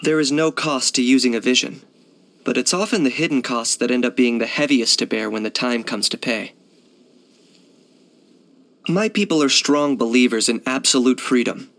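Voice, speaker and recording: An actor playing a cool, pragmatic young hunter for hire in a video game, deadpan, matter-of-fact and laconic. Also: male voice, medium pitch, normal speed, natural voice. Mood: reflective. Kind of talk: video game character voice lines.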